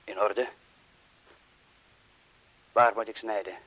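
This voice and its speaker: Nervous doubtful crook, low husky voice, dull old radio play sound. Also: male voice, steady tone, slow, fairly bright tone. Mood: reluctant. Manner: doubtful, questioning. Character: hesitant, anxious burglar worried about his mate. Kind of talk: Dutch-language radio drama acting.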